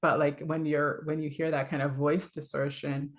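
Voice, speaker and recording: A woman coach with a low voice, giving a casual, chatty talk full of fillers, little laughs and "right?". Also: flat delivery, moderate pace. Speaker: voice and leadership coach.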